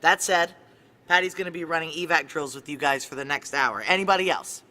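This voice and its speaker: Security chief, female, noir radio play. Tough, wry, clipped, low forceful voice. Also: a little fast. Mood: firm, commanding. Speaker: lead actor and narrator. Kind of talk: noir audio drama narration and dialogue.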